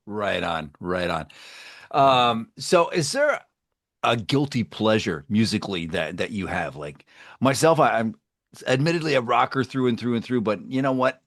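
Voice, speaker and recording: A man with a mid-pitched voice hosts an informal rock interview. He talks casually and agreeably, recorded close and dry with very little room sound. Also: lively intonation, moderate pace, fairly bright tone, no room sound. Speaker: interview host.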